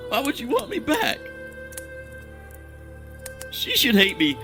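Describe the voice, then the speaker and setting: A man's voice: very high-pitched, huge pitch swings, slow, over music, exaggerated voice. An actor playing a guilt-ridden, self-condemning man in an audio drama, speaking in a formal, brooding way. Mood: anguished disbelief.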